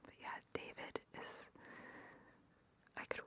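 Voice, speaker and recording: A woman speaking in a breathy whisper, rambling informally and sharing opinions in an ASMR style as the host. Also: takes it slowly, a bit bright.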